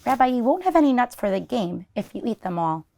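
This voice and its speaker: A woman's voice: fairly high pitch, very animated, brisk, gravelly, warm tone. A woman voicing a kindly, chatty elderly grandmother in a children's storybook, fussing and exclaiming as she hosts. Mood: playful.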